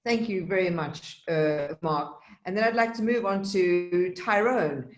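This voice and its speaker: A woman's voice: lowish voice, unhurried. A woman from Africa hosting a panel, introducing speakers in a chatty way with repeated, restarted phrases. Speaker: panel host. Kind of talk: semi-scripted introductions of speakers. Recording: roomy, echoing sound.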